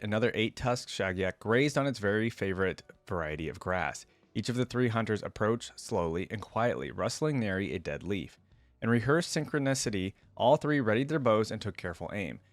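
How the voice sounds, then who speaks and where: A man's voice: lowish voice, lively intonation, fairly quick, dry recording. A man reading a story aloud and commenting on it in a casual, slangy way as a reaction host. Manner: informal, slangy asides. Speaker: host of a game lore reaction video.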